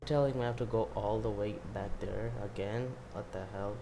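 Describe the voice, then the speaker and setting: A man's voice: lowish voice, fairly even intonation, normal speed, noisy background. A man giving casual gameplay commentary in an offhand way, as if talking to himself. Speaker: gameplay streamer. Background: game music.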